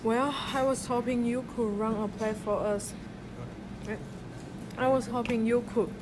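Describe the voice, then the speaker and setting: Impatient young rabbit officer, acted by a girl; slightly high, deliberate pace, noisy take. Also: fairly even intonation, lightly acted. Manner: hurried, urgent, impatient. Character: eager impatient young female rabbit cop. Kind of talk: student radio drama.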